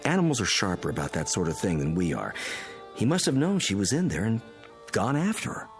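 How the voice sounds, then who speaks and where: An actor playing an analytical scientist friend in a radio drama, explaining strange phenomena in a deliberate, instructing manner. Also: male voice, on the low side, sing-song, soft background music. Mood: explaining, intent. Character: calm analytical male physicist friend explaining a mystery. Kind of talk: modern radio drama acting.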